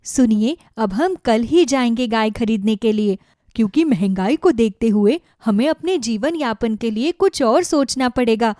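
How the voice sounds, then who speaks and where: A woman's voice: on the high side, lively intonation, measured pace, mellow, no echo, studio quality. A woman voicing a patient, practical village wife in a cartoon story, reassuring and sensible. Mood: earnest, practical. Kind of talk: Hindi cartoon moral-story dubbing.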